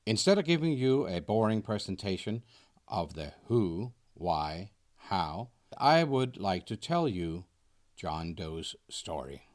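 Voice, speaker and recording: A man, a nonprofit founder reading a scripted first-person presentation in an earnest, measured way. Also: sits low, big pitch swings, darkish tone. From Denmark. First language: Danish. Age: 60s. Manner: earnest, measured read-aloud delivery.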